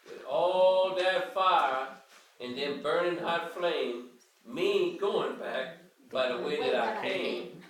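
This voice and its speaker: A man's voice: a little high, takes it slowly, fairly bright tone, distant mic. An older man voicing a hollering, exclaiming old Cajun Saint Nicholas from a storybook. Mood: indignant. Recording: roomy home recording from across the room.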